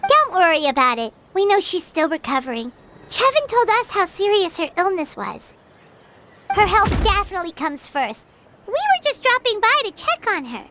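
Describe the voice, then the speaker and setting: Game VO: an excitable floating fairy companion, very high and chirpy, lively swoops in the voice. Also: female voice, normal speed, noisy background. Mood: reassuring. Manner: chirpy, exclamatory, talks of herself by name. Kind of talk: game cutscene dialogue. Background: game music.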